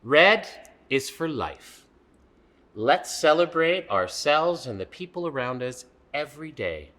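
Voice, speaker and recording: A man's voice: on the high side, big pitch swings, takes it slowly, a bit bright. A man co-hosting a children's storytime, reading aloud emphatically and addressing young listeners directly.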